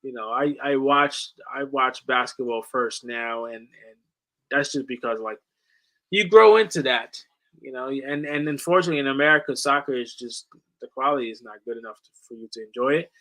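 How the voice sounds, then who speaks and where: Man, late twenties, sharp bright voice, medium pitch, slangy, sweary, casual sports streamer. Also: normal speed, rough recording. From Netherlands, moved to the US at ten. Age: late 20s. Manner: slangy, swearing, says "bro" often. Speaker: sports watch-along streamer.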